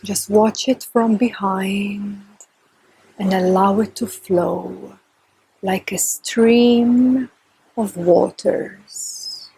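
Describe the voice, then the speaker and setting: Very slow, breathy woman guiding meditation. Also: medium pitch, low-quality recording. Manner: calm, gentle, unhurried with long pauses. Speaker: meditation teacher. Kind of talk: guided meditation, slow and instructional.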